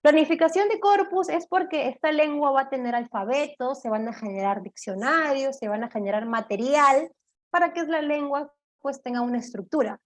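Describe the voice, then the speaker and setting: A woman giving an online law lecture, explaining step by step, often checking in with her listeners and pausing on "eh". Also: high voice. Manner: explanatory, checks in with "¿de acuerdo?", frequent "eh" fillers.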